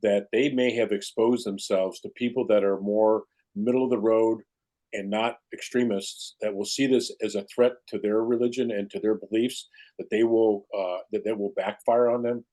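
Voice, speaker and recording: A man's voice: on the low side, steady tone, moderate pace, mellow, poor audio. A man in a casual panel discussion, phrasing things hesitantly.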